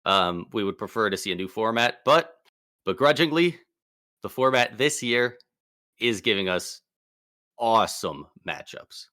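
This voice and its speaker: A man co-hosting a casual sports podcast, excitable and slangy, speaking with emphatic bursts. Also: lowish voice, a little slow, long pauses, dry recording, clean studio sound. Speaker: podcast co-host.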